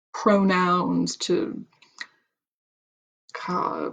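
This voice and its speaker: A woman's voice: fairly low pitch, creaky. Woman reading aloud on a live stream. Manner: reads steadily, stumbles on words and restarts, chatty asides. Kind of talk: reading a book aloud with casual asides. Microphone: computer mic on a home live stream.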